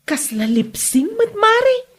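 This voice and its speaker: High-voiced sceptical Ilocano village woman, acted. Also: big pitch swings, slow, exaggerated voice. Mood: scornful gossip. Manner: sceptical, gossipy. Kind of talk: comedy radio drama acting.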